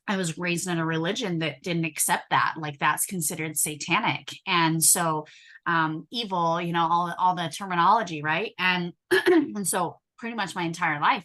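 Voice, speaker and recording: A woman hypnotherapist chatting in an interview, telling her own story with many fillers and frequent throat-clearing. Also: on the low side, bright, forceful tone. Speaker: hypnotherapist guest.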